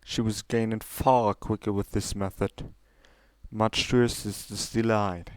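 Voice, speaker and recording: Low, smooth male story narrator. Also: little pitch movement, measured pace, no echo. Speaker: story reader. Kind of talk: scripted dramatic fiction narration.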